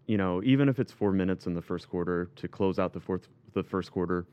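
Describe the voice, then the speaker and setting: A man's voice: sits low, a little fast, mellow, clear, resonant tone, no room sound. A man chatting casually as a panelist in a sports roundtable, on a slightly dull recording.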